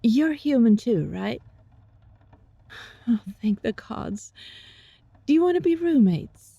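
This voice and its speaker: A woman playing a gentle, sly young fox-spirit student in an intimate ASMR roleplay, speaking softly and breathily in a coaxing, teasing way. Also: a little high, expressive, slow, no room sound, dull audio, exaggerated voice. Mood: anxious pleading. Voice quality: soft, breathy.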